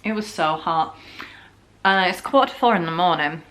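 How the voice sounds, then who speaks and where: A young woman from the north of England talks straight to her camera at home, joking her way through a story. Her voice is slightly scratchy from a sore throat and sits a touch lower than most. She talks loosely and casually, full of fillers. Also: moderate pace, fairly bright tone. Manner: chatty, jokey, full of filler "like"s. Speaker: vlogger.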